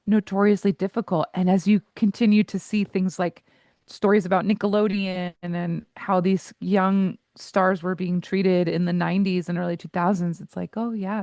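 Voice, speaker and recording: A woman in her forties, a voice coach and guest in an interview, who talks candidly in quick asides and laughs easily. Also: medium pitch, darkish tone, dry recording, studio quality.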